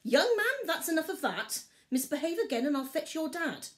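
A woman's voice: extremely high pitch, big pitch swings, normal speed, darkish tone, voice pushed up. A woman voicing a stern mother bear scolding her cub.

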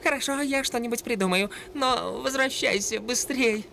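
A woman's voice: on the high side, vocal fry, a bit bright, slight background noise. A woman voicing a small, plucky male animal sidekick in a cartoon, feisty and easily indignant. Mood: urgent, plotting. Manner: feisty, indignant, quick to protest. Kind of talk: cartoon dub.

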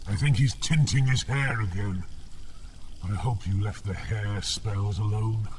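An actor playing a snide, complaining, easily frightened fire demon in radio drama style. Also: male voice, fairly low pitch, steady tone, unhurried, a little mellow, slight background noise, lightly acted. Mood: wary, snide. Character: grumbling fire demon, nervous of being put out.

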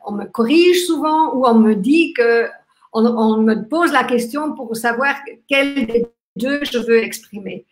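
A woman in her fifties from Austria with an Austrian German accent, German her first language, giving a gentle, reflective live talk with many restarts and fillers. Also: a little high. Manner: talks with lively hand gestures. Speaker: workshop host. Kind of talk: live online talk on gratitude. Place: small room indoors. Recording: echoey room.

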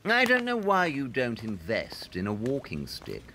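A man's voice: medium pitch, huge pitch swings, measured pace, pushed and bright, slight background noise, full character voice. An actor playing a brilliant, self-assured male detective in an audio drama, explaining things in a clipped, superior, matter-of-fact way. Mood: brisk, confident.

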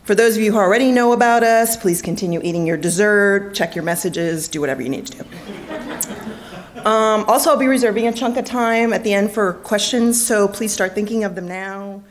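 A woman's voice: medium pitch, fairly quick. A middle-aged woman judge giving a prepared keynote at a lectern microphone in a conference hall, with dry, self-deprecating humor.

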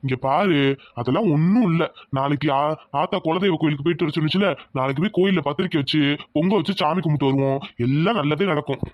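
A woman voicing a gentle village farmer, a husband and father who keeps reassuring his wife. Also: low-pitched, quick pace, dry recording, muffled recording. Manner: soothing, reassuring.

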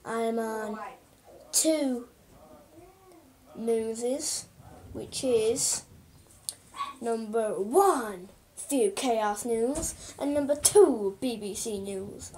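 A boy playing a croaky hesitant newsreader lady. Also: extremely high pitch, very slow, long pauses, darkish tone, a little hiss or hum, exaggerated voice. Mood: breezy. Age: child. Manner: hesitant, full of ums and restarts. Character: female TV newsreader, chatty and hesitant. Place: small room indoors. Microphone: webcam at close range.

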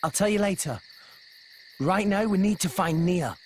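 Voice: medium pitch, moderate pace, a little mellow, slight background noise, natural voice. An actor playing an earnest, plucky teenage boy adventurer who talks informally in a video game. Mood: resolute. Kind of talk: video game cutscene acting. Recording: music under the voice.

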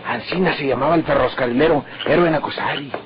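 An actor playing a nervous, scheming northern Mexican outlaw who grumbles to himself in colloquial exclamations in an old radio drama. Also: male voice, on the high side, quick pace, a crowd in the background, close to an everyday voice. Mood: smug, amused. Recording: muffled, very noisy old radio recording.

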